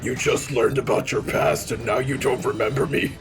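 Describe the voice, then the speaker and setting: A man's voice: medium pitch, fairly even intonation, normal speed. A man giving casual, joking let's play commentary, often putting on mock quotes.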